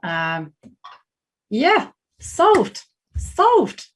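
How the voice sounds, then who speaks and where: A woman's voice: fairly high pitch, huge pitch swings, drawn-out words, breathy edge. Chatty British woman streaming chess. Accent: British English. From Britain. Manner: chatty, rambling, many fillers and restarts. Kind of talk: casual livestream chat while playing chess.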